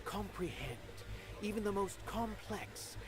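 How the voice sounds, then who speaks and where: A man's voice: high voice, expressive, unhurried. An actor playing a lofty, brooding male scholar of art in a video game, with formal, theatrical, old-fashioned phrasing. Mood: lofty, reflective. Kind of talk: video game character acting.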